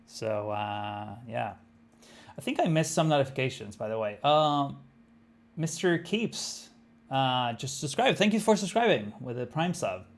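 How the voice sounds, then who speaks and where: A man's voice: medium pitch, lively intonation, measured pace. A young man from Argentina with an Argentine Spanish accent, live-streaming a game and chatting casually with viewers, thinking aloud with many fillers. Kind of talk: live-streamed gameplay chat.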